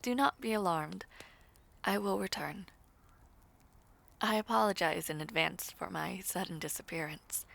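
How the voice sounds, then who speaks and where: A woman voicing a devoted noble lord in an audio roleplay, measured, formal and tender, as if reading a love letter. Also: lowish voice, gravelly, fairly bright tone, voice pushed down, full character voice. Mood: tender, composed. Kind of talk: scripted audio roleplay acting.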